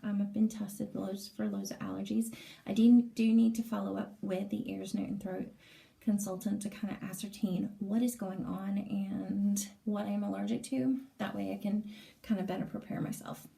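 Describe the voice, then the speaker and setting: A woman with a raspy, strained throat, giving a hesitant, casual vlog update with many pauses and fillers, recorded indoors in a small bathroom. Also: mid-range voice, level tone, warm tone, poor audio. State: lingering cough, strained throat. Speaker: vlogger.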